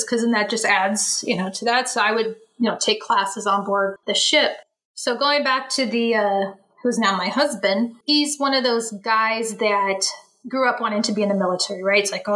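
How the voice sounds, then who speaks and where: A woman's voice: fairly high pitch, bright, forceful tone, low-quality recording. A woman in her forties, from the Bay Area, telling a long personal story in a chatty interview manner, with many restarts and asides. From San Francisco Bay Area. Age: 40s. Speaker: interview guest, Navy veteran. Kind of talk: casual storytelling.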